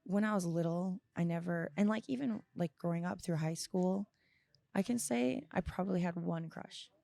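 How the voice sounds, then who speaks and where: A young woman in her early twenties with a lowish, crackly voice talks candidly in an interview. She is reflective and halting, reworking her sentences as she goes. The recording is close and dry. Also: vocal fry, warm tone, dry recording. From United States, grew up partly in Florida and abroad. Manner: halting, reflective, self-correcting. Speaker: podcast guest. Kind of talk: candid conversational interview answers. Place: small indoor lounge, on a leather couch. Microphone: close boom-arm podcast microphone with headphones.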